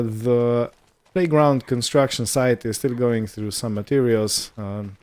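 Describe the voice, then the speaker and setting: A man giving casual roleplay gameplay commentary in a hesitant, rambling, unhurried manner. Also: mid-range voice, darkish tone, clean tone. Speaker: gaming video host.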